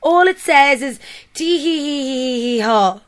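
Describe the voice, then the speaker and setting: A woman's voice: mid-range voice, moderate pace, a bit bright, natural voice. A woman voicing an impatient, exasperated traveling companion who snips back at people. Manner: exasperated and snippy.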